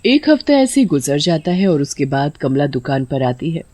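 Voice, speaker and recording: A woman's voice: sits low, big pitch swings, normal speed, dark tone, no echo. A woman narrating a scripted story as its storyteller.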